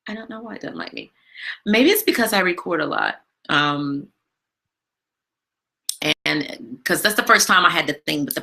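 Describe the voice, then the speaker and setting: A woman hosting a live stream from home, chatting casually with lots of fillers and a big, loud voice. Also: low-pitched. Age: adult with a daughter. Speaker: live stream show host. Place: home, not her usual studio.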